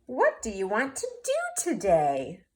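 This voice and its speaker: A woman voicing a cheerful, energetic female pig who exclaims her lines. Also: mid-range voice, a little slow, slight background noise, pitched below the performer's own voice. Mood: eager.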